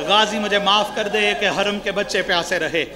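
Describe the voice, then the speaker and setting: A man's voice: sits high, fairly even intonation. A man giving an impassioned mourning sermon, often turning to his listeners with rhetorical questions. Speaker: religious mourning orator.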